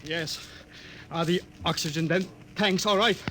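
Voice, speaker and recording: A man's voice: a little high, a little slow, heavy background noise, close to an everyday voice. An actor playing an elderly, anxious scientist father who pleads and stammers, in 1950s radio drama style. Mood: tense. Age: older.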